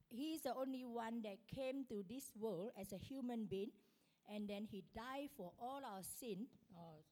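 Higher-pitched Malaysian-accented woman. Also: warm tone. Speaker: panelist. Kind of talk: informal panel talk.

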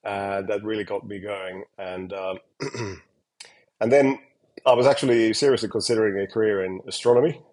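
A man from Finland with a Finnish accent tinged with American and British, talking in a relaxed interview with many fillers and restarts. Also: lowish voice, moderate pace. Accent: Finnish, with American and British touches. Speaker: guest scientist. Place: home or office in Helsinki.

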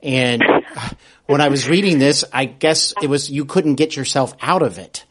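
A man's voice: medium pitch, normal speed, fairly bright tone, slightly rough. A man interviewing a guest as podcast host, conversational, attentive and supportive.

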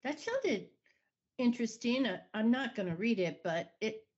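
A woman's voice: fairly high pitch, animated, moderate pace, slightly rough, highs cut off. A woman in her seventies co-hosting a podcast, giving short wry replies in casual chat. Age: 70s. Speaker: podcast co-host.

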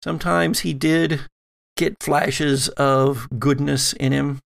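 A man playing a solemn, weary old grandmother who speaks gravely and haltingly in a tabletop roleplaying game. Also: a little high, fairly even intonation. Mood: grim, wry. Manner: slow, grave, halting delivery.

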